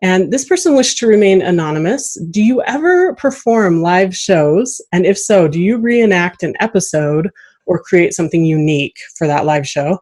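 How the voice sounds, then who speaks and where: A woman's voice: lowish voice, animated, moderate pace, darkish tone. A woman hosting a casual interview, asking questions in an encouraging, appreciative way. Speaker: podcast host, interviewer.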